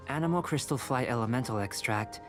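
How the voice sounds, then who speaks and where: A man plays a composed researcher in a video game. His voice sits at a medium pitch and is polite, analytical and precise in its phrasing. Music plays clearly behind him. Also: expressive, natural voice. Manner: measured, formal, articulate. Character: calm, polite young male researcher, detached and analytical. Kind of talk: scripted video game character dialogue.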